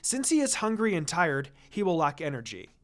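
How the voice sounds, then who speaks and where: Hot-tempered ninja girl, read by a narrator, scolding and pleading, pitch jumps around, story reading. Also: sing-song, moderate pace, lightly acted. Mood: confident.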